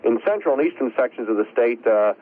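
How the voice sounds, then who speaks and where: Male weather forecaster, mid pitch, explaining off the cuff, tinny phone-line sound on vintage radio. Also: animated, fast, a little hiss or hum. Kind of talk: unscripted live forecast interview. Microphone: live phone line.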